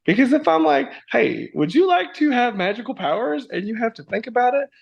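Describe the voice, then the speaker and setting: Playful young man with gravelly voice. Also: very high voice, very animated, fairly quick, dull audio. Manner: playful, bubbly, lots of likes and you-knows. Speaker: podcast guest. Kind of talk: casual conversation.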